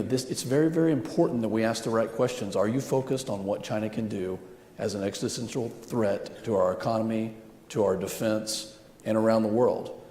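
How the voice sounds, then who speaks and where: A man's voice: lowish voice, warm tone. A man, a congressman on a panel, answering emphatically and repeating his points. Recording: echoey hall with long reverb.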